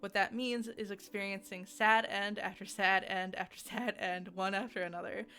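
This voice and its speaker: A woman's voice: mid-range voice, fairly even intonation, moderate pace, sharp tone. A woman giving a casual, conversational video game review in an emphatic, informal way, often giggly as she talks.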